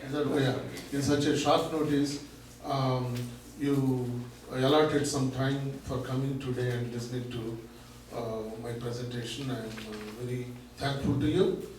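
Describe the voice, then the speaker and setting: A man's voice: medium pitch, fairly even intonation, unhurried. A man lectures in a big, teacherly voice, asking questions and then answering them himself. He sounds far from the mic in a very echoey room with faint noise behind him. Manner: loud, teacherly, explains with rhetorical questions. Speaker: guest speaker, learning center founder. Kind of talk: public talk to an audience. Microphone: microphone at a distance.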